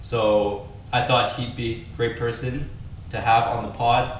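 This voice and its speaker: A young man from Austria in his twenties, hosting a casual podcast chat in a relaxed, laid-back way. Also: medium pitch, measured pace, recorded from a distance, slight background noise. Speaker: podcast host. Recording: very echoey room, off-mic.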